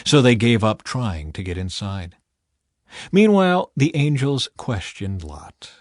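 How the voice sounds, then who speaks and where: A man's voice: medium pitch, big pitch swings, unhurried, no echo, clean studio sound. A man narrating a scripted audiobook expressively as a storyteller.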